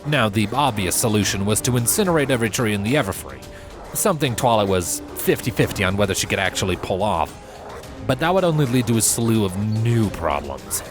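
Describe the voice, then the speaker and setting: A man with a fairly low voice reads an adventure story aloud over faint background music. His delivery is expressive and measured, stretching key phrases for dramatic emphasis. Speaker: fan fiction narrator. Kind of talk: scripted dramatic story narration. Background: background music under the voice.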